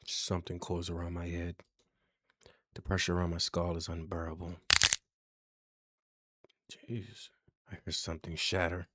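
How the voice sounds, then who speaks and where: A man with a husky voice reading horror game narration aloud in a hushed, dramatic style as he plays. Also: deep voice, little pitch movement, unhurried, long pauses, background noise.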